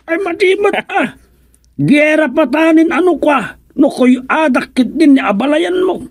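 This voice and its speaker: An actor with an Ilocano accent playing an excitable, bossy older father-in-law in a comedy radio drama, calling out orders. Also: very high-pitched, unhurried, full character voice. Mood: animated.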